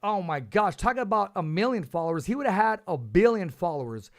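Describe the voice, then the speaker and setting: A male host runs a boxing interview show, full of hype and quick restarts as he gets excited. His voice sits a bit high for a man. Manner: enthusiastic, hype-driven, stumbles on restarts. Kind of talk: casual interview chat.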